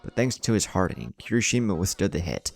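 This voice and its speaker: Male narrator for dramatic fan fiction, very low register, animated intonation, gravelly texture. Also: fast talker. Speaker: story narrator.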